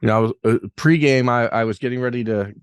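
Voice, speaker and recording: A man's voice: mid-range voice, fast, smooth, dry recording, studio quality. A man hosting an interview podcast, asking long, rambling questions with self-deprecating asides. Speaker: podcast host.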